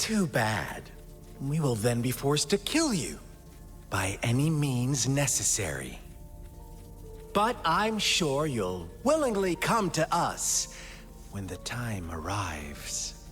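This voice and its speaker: An actor playing a sinister, mocking cult overseer in a video game, speaking in a theatrical, smugly courteous way. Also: male voice, mid-range voice, huge pitch swings, takes it slowly, fairly bright tone, in a large hall, soft background music, full character voice. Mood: smug menace. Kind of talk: dramatic video game voice acting.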